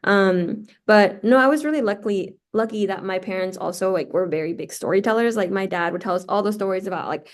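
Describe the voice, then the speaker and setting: A young woman, talking casually as a guest in an interview. Her pitch sits in the middle of the female range. She strings her thoughts together with a constant stream of "like" and "um.". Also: brisk, few pauses. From born in Peru, raised in the United States. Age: 20s. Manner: chatty, heavy use of "like" fillers. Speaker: guest, law student.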